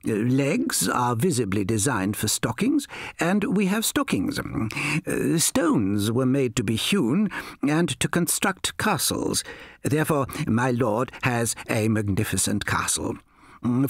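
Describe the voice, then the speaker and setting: A man voicing a pompous, complacently optimistic philosopher tutor in an audiobook, lecturing with hesitant ums and drawn-out words. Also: mid-range voice, slightly rough, no echo, clean studio sound, full character voice.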